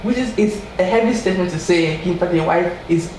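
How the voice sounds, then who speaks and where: A woman's voice: fairly low pitch, brisk. Chatty woman in an echoey room. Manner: chatty, animated, quoting people in raised voice. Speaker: podcast host. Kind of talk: casual true-crime storytelling. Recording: echoey room from far off with faint noise.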